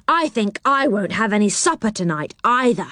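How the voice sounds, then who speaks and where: A woman's voice: mid-range voice, big pitch swings, moderate pace, fairly bright tone, no echo, clean studio sound. A woman voicing a greedy, eager young boy who gushes about treats in a storybook.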